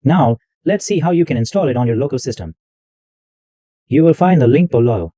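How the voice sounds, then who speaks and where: Lively man explaining software step by step. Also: medium pitch, brisk, dry recording, muffled recording. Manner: explains step by step, repeats himself. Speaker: tech tutorial host. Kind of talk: screen-recorded software walkthrough.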